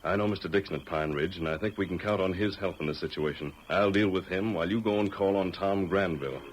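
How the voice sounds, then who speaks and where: Man as a low-voiced, commanding cowboy hero. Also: close to an everyday voice. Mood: resolute. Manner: measured, authoritative, formal. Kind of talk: 1940s radio western acting. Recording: noisy vintage radio recording.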